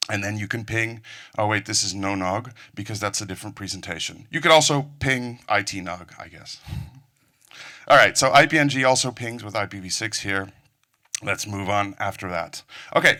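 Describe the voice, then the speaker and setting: A man's voice: lowish voice, lively intonation, normal speed, sharp tone. A middle-aged man from the Netherlands whose English sounds American, giving a technical conference talk from a stage with joking, self-deprecating asides. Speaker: conference speaker.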